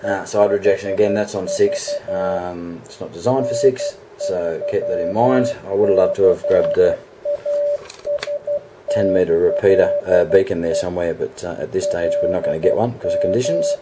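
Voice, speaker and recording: A man giving a casual, off-the-cuff equipment demonstration as a radio hobbyist, halting as he thinks aloud. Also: sits low, huge pitch swings. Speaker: amateur radio hobbyist presenting a video. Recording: noisy recording with radio hiss and hum.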